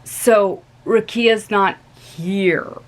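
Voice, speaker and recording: A woman's voice: mid-range voice, extremely slow. A young woman reading aloud and voicing an earnest, anxious boy in a slightly raised, eager voice.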